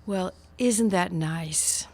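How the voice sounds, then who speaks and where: Woman acting slow, prim charity official. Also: lowish voice, darkish tone, heavily acted. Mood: smug, formal. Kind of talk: comic radio theater sketch.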